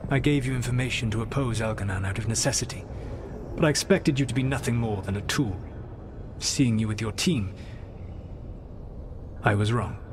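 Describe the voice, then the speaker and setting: An actor playing a proud, regretful ancient elven god in a video game, speaking in a deliberate, formal, reflective way. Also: male voice, mid-range voice, noisy background, close to an everyday voice. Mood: reflective, candid. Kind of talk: dramatic video game acting.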